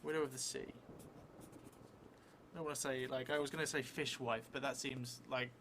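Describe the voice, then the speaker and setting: A middle-aged man thinking aloud as he plays a solo tabletop game, halting and hesitant, often trailing off mid-thought. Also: mid-range voice, steady tone, a bit bright, slight background noise. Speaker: solo tabletop role-player streaming a game. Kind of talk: thinking aloud while playing a journaling game. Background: music.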